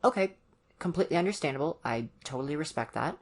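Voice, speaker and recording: ASMR roleplay boyfriend, played by an actor: college-age, awkward and earnest, gentle, halting delivery, medium pitch. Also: expressive. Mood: nervous, caring. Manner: hesitant, gentle, awkward, many ums and pauses. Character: ditzy, awkward, earnest young college boyfriend, caring and nervous. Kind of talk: ASMR roleplay, one-sided conversation with the listener.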